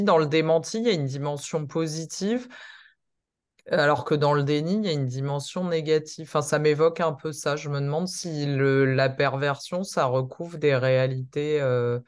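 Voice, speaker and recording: A participant in a seminar speaking in a middle-pitched voice, musing aloud and searching for words with short pauses before settling on a thought. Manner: reflective, thinking aloud, speeds up at the end.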